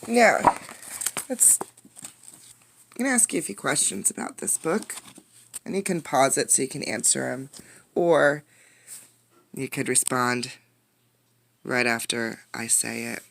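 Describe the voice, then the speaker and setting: A woman reading a picture book aloud as a teacher, expressively, pausing to put questions to young listeners. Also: a bass voice, vocal fry. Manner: expressive storytelling, addressing young listeners directly. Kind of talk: interactive children's read-aloud with questions.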